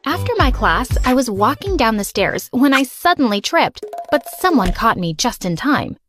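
A woman reading a first-person story in an expressive, melodramatic way. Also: a little high, moderate pace. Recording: music behind the voice.

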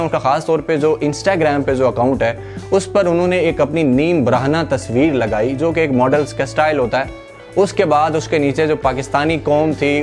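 Young Pakistani man chatting to viewers. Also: mid-range voice. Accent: Pakistani Urdu. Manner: conversational, many fillers, addresses viewers directly. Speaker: news channel presenter. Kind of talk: explanatory current-affairs commentary. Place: studio in front of a green screen. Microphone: clip-on lapel mic. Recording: faint background music.

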